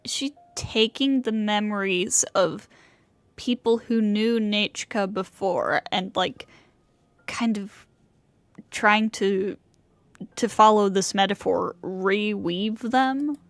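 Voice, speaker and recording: A woman streamer giving casual, halting live commentary on a game, musing aloud. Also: mid-range voice, no echo. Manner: musing aloud, halting, piecing thoughts together.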